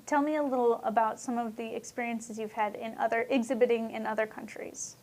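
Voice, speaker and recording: A woman's voice: on the high side, fairly even intonation, fairly quick, darkish tone. A woman interviewer asking plain, brief questions in an oral history interview.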